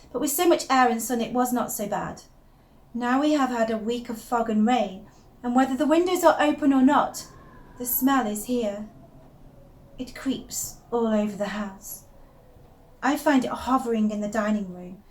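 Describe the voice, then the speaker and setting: High-voiced, obsessive wife, acted by a woman. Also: lightly acted. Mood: brooding, fascinated. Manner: confiding, intense, theatrical, with shifts from hushed to emphatic. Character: unravelling, obsessive young wife confiding secrets in her diary. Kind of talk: dramatic first-person monologue reading.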